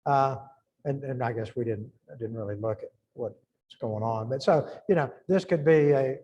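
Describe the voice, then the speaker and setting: A man's voice: mid-range voice, moderate pace, warm tone, husky, muffled recording. A middle-aged man giving an informal engineering lecture, thinking aloud and restarting phrases as he works through numbers.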